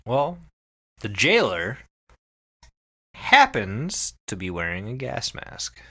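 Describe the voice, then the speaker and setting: Animated, bright-voiced male podcast host. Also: mid-range voice, takes it slowly. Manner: profane, emphatic, repeats phrases for effect. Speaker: podcast host telling a story to co-hosts. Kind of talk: casual, animated storytelling chat.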